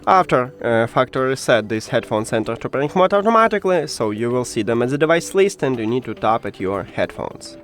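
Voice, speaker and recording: A man's voice: mid-range voice, big pitch swings, brisk, hardly any pauses, a bit bright, faint music behind. A man who speaks English as a second language, presenting a step-by-step tech tutorial and addressing the viewer directly in an instructional way. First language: not English. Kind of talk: step-by-step how-to narration.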